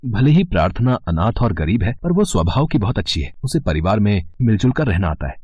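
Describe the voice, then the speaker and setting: A man playing an earnest, affectionate grown son with a husky voice in a cartoon. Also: fairly low pitch, very animated, a little fast, a little mellow, no room sound, dull audio.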